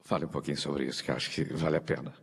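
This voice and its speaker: A man's voice: very deep voice, steady tone, rapid-fire, creaky, husky. A man with a Brazilian accent works as a live interviewer, speaking in an effusive, ceremonious way. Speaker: interviewer, presenter. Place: large reverberant hall. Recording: echoey hall with background noise.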